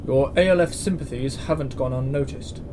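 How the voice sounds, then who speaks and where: Over clear background noise, a male actor plays a space station commandant in a sci-fi audio drama. He is stern and exasperated, speaking in a mid-pitched male voice with curt authority. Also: brisk, lightly acted. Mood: exasperated, accusing. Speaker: military commandant. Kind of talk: science-fiction audio drama acting.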